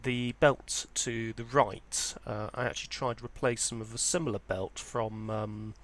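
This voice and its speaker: A man's voice: mid-range voice, steady tone, moderate pace, a bit bright. A man presenting an informal, unscripted walkthrough as a hobbyist, explaining things in a hesitant, hedging way. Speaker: presenter of a vintage audio hobby channel.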